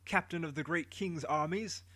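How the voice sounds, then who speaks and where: A man voicing a royal herald with a staff, proclaiming slowly and grandly to a crowd. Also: fairly high pitch, bright, forceful tone, no echo. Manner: slow, proclaiming.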